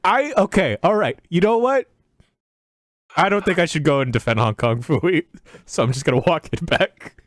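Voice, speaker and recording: A man with a somewhat higher voice co-hosts a casual podcast. He sounds close and dry. His talk is joking but hesitant, often backing up and correcting himself. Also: lively intonation, normal speed, dry recording. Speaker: podcast co-host.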